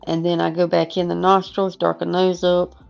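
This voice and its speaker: A woman who is losing her voice, hoarse and chatty, talking through a casual painting lesson over soft guitar music. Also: fairly low pitch, level tone, moderate pace, highs cut off. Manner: casual, chatty, self-deprecating. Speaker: art teacher. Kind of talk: relaxed painting tutorial voice-over.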